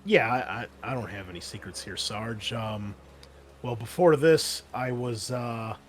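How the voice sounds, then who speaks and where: Casting: male game master as injured female space marine, tabletop game, casual, halting, downplays trouble, faint noise behind. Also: medium pitch, natural voice. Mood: candid, weary. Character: injured female marine breacher, gruff, brushing off concern. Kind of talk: improvised tabletop roleplay voice.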